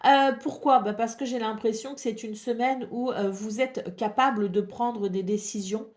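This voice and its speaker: A woman's voice: on the high side, fairly even intonation, moderate pace, a little mellow. A woman with a French accent and a head cold, giving a weekly horoscope from tarot cards in a hesitant way, with many fillers. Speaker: tarot reader. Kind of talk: weekly horoscope card reading.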